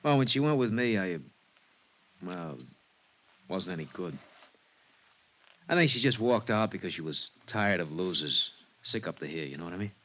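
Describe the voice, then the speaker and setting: An American man acting a downhearted small-time bandleader in a 1950s radio drama, halting, wistful and slangy. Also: sits low, lively intonation, fairly bright tone. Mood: wistful, regretful. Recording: muffled vintage radio recording.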